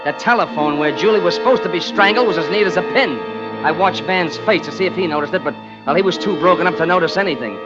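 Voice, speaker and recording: A man's voice: sits high, quick pace, close to an everyday voice. Guy acting a hardboiled old radio crime narrator, shady fake pal, offhand slang, muffled with music under it. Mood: cool, confiding. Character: scheming, coolly deceitful killer narrating, pretending to be loyal pal.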